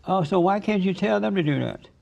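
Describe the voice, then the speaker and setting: A male talk show host bluntly grilling his guest with rapid questions. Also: high-pitched, expressive, creaky, darkish tone, dull audio.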